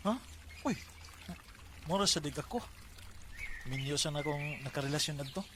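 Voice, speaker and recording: Actor as earnest Cebuano bachelor, very noisy recording. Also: male voice, a little high, moderate pace, close to an everyday voice. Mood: hopeful. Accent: Filipino, Cebuano. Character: earnest, unlucky-in-love Filipino bachelor suitor. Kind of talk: radio drama acting. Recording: very noisy radio drama with ambience.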